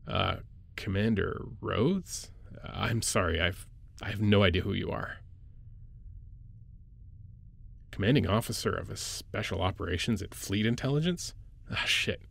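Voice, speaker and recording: A man playing a caring, playfully gruff military medic lieutenant in an intimate audio roleplay, with an informal, hesitant, teasing delivery. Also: fairly low pitch, normal speed, a bit bright. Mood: confused, wary.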